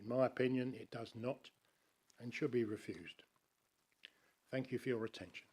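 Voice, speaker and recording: A man reads a prepared objection to a committee in a council chamber, measured and formal. He slips in a few dry asides. His pitch sits in an ordinary middle range. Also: darkish tone, slightly rough. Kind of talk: reading a prepared statement to a committee.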